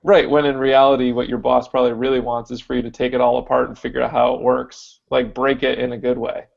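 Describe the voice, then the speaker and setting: A man co-hosting a casual podcast chat, deep-voiced, thinking aloud with lots of fillers. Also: flat delivery, fast, creaky, muffled recording. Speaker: podcast co-host.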